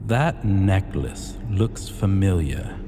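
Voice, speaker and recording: A man playing an imperious, possessive dragon king in a fantasy ASMR roleplay, speaking in a commanding, deliberate and haughty manner. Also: low voice, lively intonation. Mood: accusing. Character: imperious male dragon king, menacing, possessive, slyly amused. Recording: long hall reverb, faint background noise.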